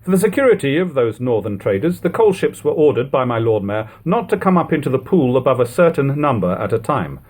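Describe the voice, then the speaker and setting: Guy reading an old book out loud, kinda husky, lots of up and down in the pitch. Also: very animated, fairly quick, hardly any pauses. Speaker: audiobook reader. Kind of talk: literary audiobook narration. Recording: lo-fi home recording.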